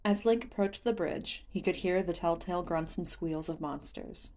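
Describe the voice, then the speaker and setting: A woman's voice: lowish voice, normal speed, darkish tone. A woman reading scripted story narration as the narrator of a fan fiction audiobook.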